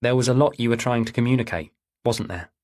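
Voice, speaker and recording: A man voicing a calm female police detective who questions gently and persuasively in an audiobook. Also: medium pitch, fast talker, lightly acted. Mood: gently probing. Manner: soothing, probing.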